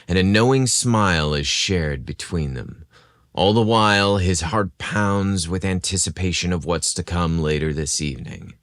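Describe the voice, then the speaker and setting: Man, very low voice, podcast story narrator, scripted romance, measured dramatic delivery, dry recording. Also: fairly bright tone, no echo.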